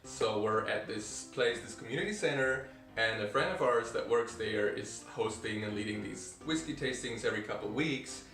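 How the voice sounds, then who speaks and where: A man vlogging, chatty and animated as he tells a funny story to the camera. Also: on the low side, echoey room, far from the mic, faint music behind. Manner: chatty, animated, doing quick impressions of others. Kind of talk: casual storytelling vlog.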